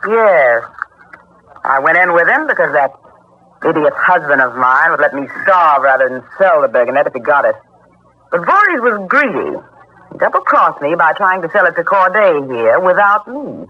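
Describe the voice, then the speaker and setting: An actress playing a nervy, hot-headed murderous wife in a 1940s radio crime drama, defiant and snappish. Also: female voice, sits low, very animated, moderate pace, a little hiss or hum. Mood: cold, impatient. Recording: dull vintage 1940s radio recording.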